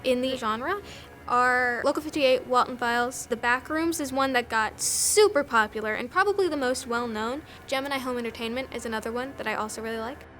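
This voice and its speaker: High-voiced woman talking casually over music. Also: brisk. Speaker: documentary interviewee, filmmaker. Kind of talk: casual interview-style commentary.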